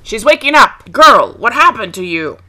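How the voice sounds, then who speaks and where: A woman's voice: on the high side, big pitch swings, moderate pace, pushed and bright. A woman voicing a stern, protective little dwarf, emphatic and scolding in a children's storybook tale. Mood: alarmed, scolding. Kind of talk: children's storybook play with dolls.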